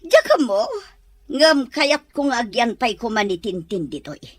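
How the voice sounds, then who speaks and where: A woman's voice: a little high, sing-song, a little slow, dry recording. A woman acting as a cold, calculating older mother-in-law in an Ilocano radio-style drama, speaking with stern control. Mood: scheming. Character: scheming older mother-in-law plotting against daughter-in-law.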